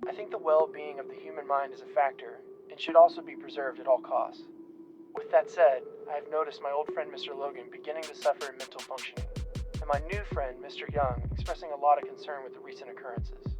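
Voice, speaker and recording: A man's voice: fairly high pitch, steady tone, fast talker, close to an everyday voice. A man playing a cautious, protective researcher in an audio drama, speaking in a considered, analytical, formal manner. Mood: concerned. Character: cautious, protective male researcher, worried for his friends. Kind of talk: scripted audio drama monologue and dialogue. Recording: dull lo-fi sound under music.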